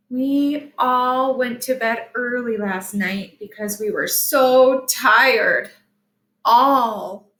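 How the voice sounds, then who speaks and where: A woman's voice: on the high side, fairly bright tone, roomy sound. A woman teaching young children to read, patiently spelling and explaining in a sing-song teacherly way with careful, emphatic enunciation. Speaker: early-grade reading teacher. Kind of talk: sight-word lesson and picture book read-aloud for young children.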